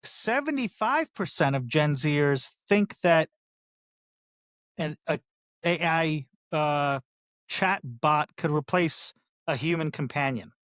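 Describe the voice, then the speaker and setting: An emphatic male podcast co-host with a slightly raised pitch, quick to react and fond of reeling off statistics. The recording is dry and close to the mic. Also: lively intonation, fairly bright tone, no room sound. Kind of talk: casual chat.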